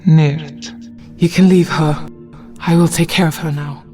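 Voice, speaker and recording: Fantasy audio story: actress for otherworldly goddess, low register, calm and authoritative, music bed. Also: female voice, a little slow. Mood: calm authority. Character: commanding, calm goddess speaking inside the hero's head. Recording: music under the voice.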